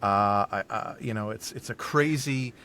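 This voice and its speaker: Halting man explaining, faint noise behind. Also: on the low side, bright, forceful tone. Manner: halting, repeats words as he explains. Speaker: audio drama festival organizer, podcast guest. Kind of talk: casual interview chat.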